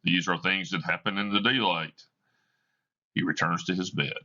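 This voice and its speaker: A male teacher reading a play aloud for a class, explaining slowly and deliberately. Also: low voice, fairly even intonation, pushed and bright, muffled recording.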